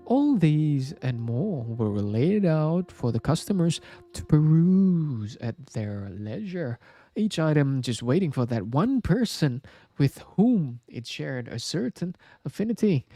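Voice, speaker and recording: A man reading a story aloud as scripted narration, with a measured, dramatic storybook delivery. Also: a little high, very animated, dark tone, dry recording, faint music behind. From Indonesia.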